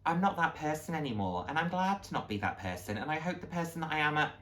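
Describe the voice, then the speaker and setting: A man's voice: on the high side, big pitch swings, fast, a bit bright. Man, late twenties, casual vlog talk, earnest, reflective, supportive. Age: late 20s. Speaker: vlog host. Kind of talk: casual heartfelt talk to viewers.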